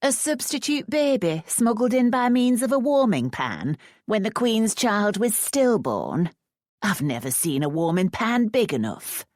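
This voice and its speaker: A woman voicing a grand wealthy widow who speaks with brisk, dismissive authority. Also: medium pitch, sing-song, darkish tone, no room sound, studio quality, voice pushed up. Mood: scornful. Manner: brisk, scornful, commanding.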